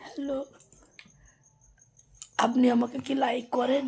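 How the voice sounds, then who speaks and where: A woman's voice: high voice, little pitch movement, a little slow, creaky, mellow, breathy edge. A woman making a playful prank phone call in a gushing, pleading manner. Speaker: prank caller, vlogger.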